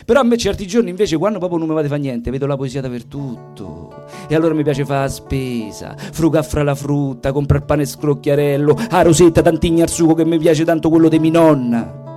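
A man's voice: fairly high pitch, fairly quick, dark tone, soft background music. A man with a Roman Italian accent performing a colloquial, folksy comic monologue live, full of little asides. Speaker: stage performer, storyteller and singer.

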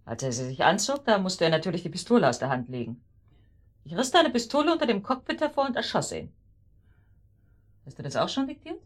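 A woman's voice: fairly low pitch, very animated, brisk, pauses often. A woman playing a cold, scheming, scornful murder accomplice in a German radio drama, pointed and sarcastic in her delivery. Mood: suspicious, probing.

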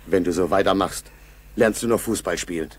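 An actor playing a plain-spoken, companionable military man in a 1960s German radio play, with a very husky voice. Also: low voice, fairly quick. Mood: teasing, encouraging.

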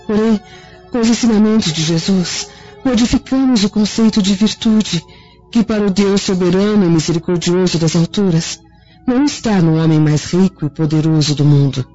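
Woman acting devout senator's daughter, mellow tone, formal declamation, radio drama, dull lo-fi sound. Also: lowish voice, lightly acted. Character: earnest, devout grown daughter of a Roman senator.

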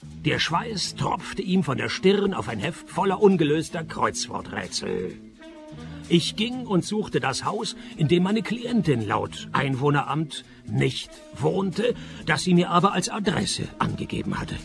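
A gravelly, fairly high male voice with animated pitch, that of a cynical private eye. He is dry and sarcastic, in the manner of a radio play. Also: a little slow, over music, heavily acted. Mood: dry narrating. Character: cynical, deadpan male private detective narrator. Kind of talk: radio play narration and dialogue.

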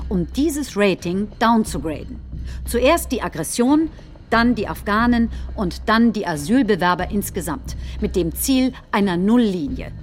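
Folksy combative populist politician, radio play, deliberate rhetoric, mid-pitched voice. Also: female voice. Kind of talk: staged recitation of political speeches.

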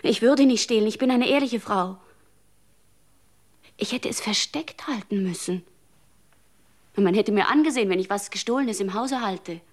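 An actress playing a grieving, honest mother who pleads and protests, moving from hushed whispers to raised insistence. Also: medium pitch, moderate pace, pauses often, natural voice. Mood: fearful. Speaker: mother at a funeral. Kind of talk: radio drama acting.

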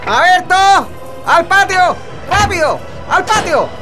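A man playing a bossy prison guard commander in a radio drama, shouting clipped orders at inmates. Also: very high-pitched, very animated, a little slow, music in the background, heavily acted. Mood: barking orders.

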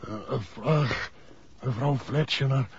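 An actor playing a badly injured, barely conscious man in a 1960s radio drama, struggling weakly to get words out. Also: medium pitch. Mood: weak, desperate. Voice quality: weak, halting, breathy gasps. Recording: dull vintage radio drama recording.

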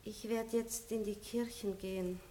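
An actress playing an aging noble princess in a radio play, melancholy and tender. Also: female voice, medium pitch, steady tone, measured pace, mellow, a little hiss or hum, lightly acted. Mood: commanding. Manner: melancholy, drops to a whisper in reflection. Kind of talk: 1960s radio play acting.

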